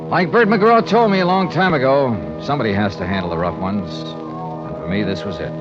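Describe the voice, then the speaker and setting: An actor playing a brisk, tough insurance investigator in 1950s radio drama, with a clipped, dry delivery. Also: male voice, fairly low pitch, animated, close to an everyday voice. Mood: grim, matter-of-fact. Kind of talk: 1950s radio drama acting, hardboiled narration. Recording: dull old radio transcription, music behind.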